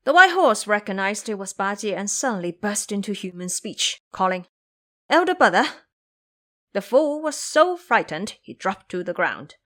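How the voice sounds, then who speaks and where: Woman, narrator, scripted storytelling, medium pitch, dramatic delivery. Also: sing-song, normal speed, few pauses, bright, forceful tone.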